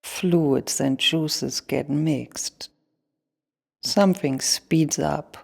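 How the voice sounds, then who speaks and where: A solitary, contemplative woman in a radio play, voiced by an actress, speaks in short fragments. Her delivery is very slow and has a marked creak. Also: deep voice, full character voice. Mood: observing. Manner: deliberate, contemplative, fragmented phrasing. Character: Sitting Lady, musing solitary woman talking to a car.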